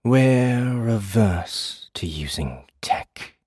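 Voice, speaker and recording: A dangerous fae prisoner speaks in a deep, breathy hush, acted by a man. His audiobook delivery is slow and formal, darkly polite, and very close and dry. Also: animated, no room sound, full character voice. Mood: measured, dismissive. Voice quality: smooth, hushed, breathy. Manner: deliberate, measured, formal. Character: caged male fae prisoner, menacing, slow, darkly courteous. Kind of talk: audiobook character acting.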